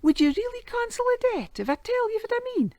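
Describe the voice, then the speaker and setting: A woman voicing a clever, cheeky farm boy with a Doric Scottish accent and a high voice. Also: very animated, brisk, darkish tone, dry recording. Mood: sly.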